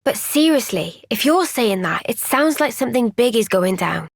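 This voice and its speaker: A woman voicing an earnest, kind young woman soldier in a video game, speaking gently and sincerely in a thoughtful, resolute way. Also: a little high, animated, normal speed, no echo, clean studio sound. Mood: serious, thoughtful. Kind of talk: video game cutscene voice acting.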